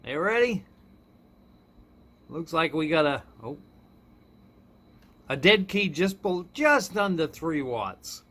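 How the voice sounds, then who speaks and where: A man's voice: fairly high pitch, big pitch swings, slow pace, long pauses, a bit bright. A man giving a casual hands-on gadget demo, informal and often chuckling as he thinks aloud, recorded indoors with background noise. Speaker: product reviewer. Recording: clearly noisy room recording.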